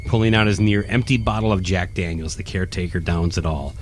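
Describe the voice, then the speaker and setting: A man's voice: low-pitched, fairly quick, a little hiss or hum. A man reading scripted narration for a horror radio play. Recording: faint music behind the voice.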